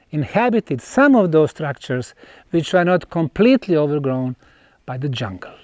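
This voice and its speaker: Slow, animated man lecturing on site. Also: on the high side, no room sound, clean studio sound. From Bosnia. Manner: emphatic, storytelling, pausing between short phrases. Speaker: researcher presenting an archaeological site. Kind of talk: unscripted explanatory lecture to camera.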